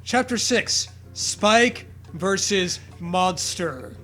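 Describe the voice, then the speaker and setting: A man's voice: high-pitched, expressive, extremely slow, pushed and bright, soft background music. A man co-hosting casual comedy commentary, mocking what is being read and swearing freely. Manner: mocking, profane. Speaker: co-host.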